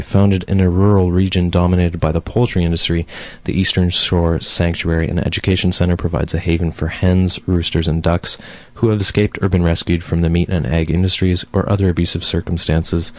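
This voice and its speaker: Hoarse, very low-voiced male radio host. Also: a little mellow, dry recording. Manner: reads news steadily, then chats with fillers. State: hoarse from a cold. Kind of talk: community talk radio news and interview. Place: radio studio.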